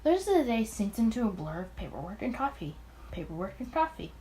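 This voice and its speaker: A woman's voice: medium pitch, very animated, fast, dark tone, slight background noise, natural voice. A woman reading the first-person thoughts of an anxious, smitten young male detective in a visual novel. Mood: anxious, brooding.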